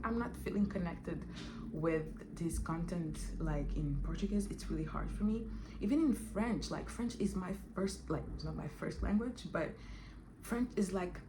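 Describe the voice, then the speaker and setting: Halting, low-voiced woman vlogger. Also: background noise. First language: not English. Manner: halting, many restarts and fillers. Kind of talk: casual talk to camera.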